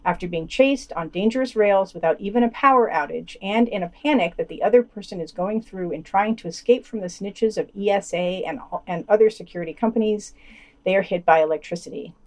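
A woman's voice: medium pitch, a little fast, a little mellow. A woman hosting a podcast, reading scripted news items and quotations with dry, sardonic commentary.

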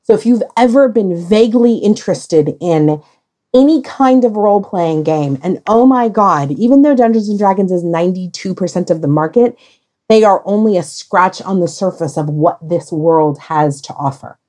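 A woman with a fairly low voice hosts a casual podcast conversation. She speaks emphatically and deliberately, leaving pauses as she shapes her phrases. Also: expressive, dark tone. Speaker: podcast discussion host.

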